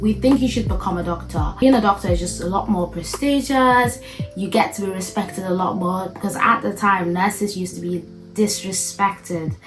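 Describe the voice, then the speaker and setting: Young woman, nigerian accent with british touches, lowish voice, chatty vlog talk, music underneath. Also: poor audio. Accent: Nigerian with British influence. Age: 20s. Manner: chatty, animated, quick bursts with playful emphasis. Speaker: medical student vlogger. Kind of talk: casual direct-to-camera chat.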